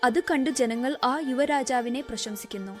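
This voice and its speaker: A woman's voice: sits high, normal speed, faint music behind. A woman reading scripted narration for a cartoon moral story.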